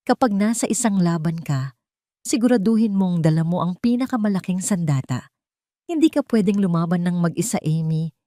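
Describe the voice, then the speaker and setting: A woman voicing a calm, firm, protective older sister in an audio drama. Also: lowish voice, normal speed, no room sound, studio quality, close to an everyday voice. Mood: firm, commanding.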